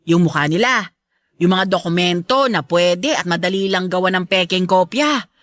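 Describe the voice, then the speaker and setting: A woman's voice: on the low side, expressive, moderate pace, a bit bright, no echo. A woman playing an aging, protective and stubborn but loving Filipino mother in a radio drama, chatty and firm in a motherly way. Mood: firm, wary.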